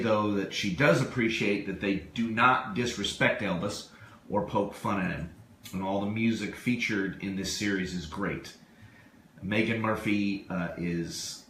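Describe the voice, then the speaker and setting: Low-voiced man presenting in an echoey room. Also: a bit bright. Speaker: radio show co-host. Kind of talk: reading out a review on a live webcast. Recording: roomy echo, faint background noise.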